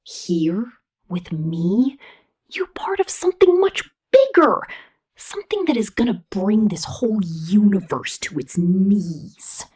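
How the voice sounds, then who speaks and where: Woman acting a female killer robot, husky half-whisper, swooping intonation, possessive, megalomaniac, seductively menacing, ASMR roleplay. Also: medium pitch, sing-song, takes it slowly, dark tone, exaggerated voice. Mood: menacing, grandiose. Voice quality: breathy, whispery.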